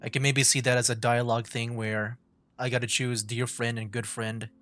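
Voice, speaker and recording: A man giving casual game commentary as a streamer, thinking aloud in a halting, musing way. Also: medium pitch, flat delivery, a bit bright. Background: game music.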